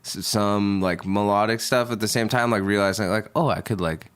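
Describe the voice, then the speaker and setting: Young man, very low voice, rambling, filler-heavy, laid-back, casual chat. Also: normal speed, a bit bright, clear, resonant tone. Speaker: rapper guest.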